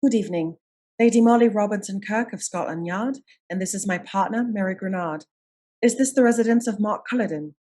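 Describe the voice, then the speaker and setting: A woman acting as a shrewd Edwardian lady detective in a radio play, measured, polite and decisive. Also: medium pitch, mellow, lightly acted. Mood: grave, courteous.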